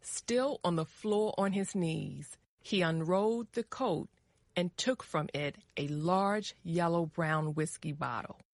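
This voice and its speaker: Lady narrator reading a story for learners, careful and slow, dry studio-like sound. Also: on the low side, no echo. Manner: deliberate, careful phrasing.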